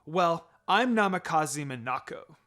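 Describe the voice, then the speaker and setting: A man voicing a cheerful, excitable young girl, read as a fan-fiction storybook character. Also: a little high, a little slow. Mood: eager.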